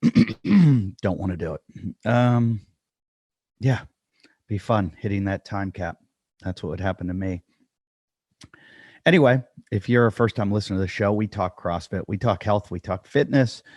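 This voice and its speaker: A man's voice: lowish voice, lively intonation, measured pace, pauses often, darkish tone, dry recording. A man hosting a podcast, talking casually and self-deprecatingly with lots of fillers as he introduces the show and his guest. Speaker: podcast host. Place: dry room at home.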